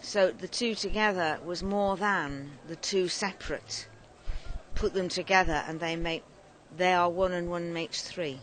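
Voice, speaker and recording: A woman co-hosting a casual podcast, her voice hoarse from shouting and singing, talking enthusiastically outdoors. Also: fairly low pitch, steady tone, unhurried, slight background noise, low-quality recording. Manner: enthusiastic, effusive. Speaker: podcast co-host. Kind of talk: casual chat. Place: outdoors at a festival.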